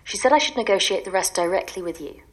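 A woman plays a reluctant female client in an audio drama. She is sceptical and plain-spoken, hesitant at times, with a lively, mobile pitch. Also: fast, lightly acted. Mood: relieved, surprised. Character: wary, reluctant female client fleeing her old life. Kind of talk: naturalistic audio drama acting.

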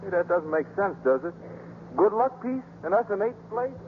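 A man's voice: high voice, lively intonation, moderate pace. An American actor playing a male baseball player in a 1950s radio drama. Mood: uneasy. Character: male ballplayer, teammate of the angry manager. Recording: noisy, tinny old radio broadcast.

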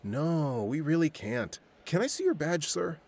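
Audio comedy: easygoing, teasing security guard, casual talk with animated pitch. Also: male voice, measured pace, creaky, exaggerated voice. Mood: friendly. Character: easygoing, goofy male office security guard. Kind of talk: audio fiction comedy acting.

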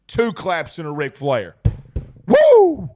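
A young man hosting a post-game sports livestream, hoarse and losing his voice, excitable and rambling as he reads viewer messages. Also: a little high, slow. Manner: excitable, rambling, repeats himself. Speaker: sports talk show host. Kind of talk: excited post-game livestream chat reading super chats.

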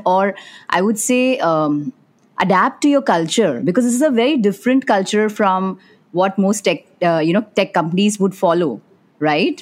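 A woman hosting a podcast interview, asking questions and responding with encouraging short acknowledgements. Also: medium pitch. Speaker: podcast host. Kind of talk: interview host.

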